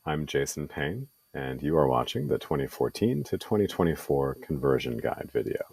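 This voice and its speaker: Very deep-voiced man, dry close sound. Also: animated, normal speed, darkish tone, husky, dry recording. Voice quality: radio voice. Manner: self-deprecating, earnest, frequent ums. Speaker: indie game publisher and video presenter. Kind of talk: tutorial presentation. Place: home laundry room.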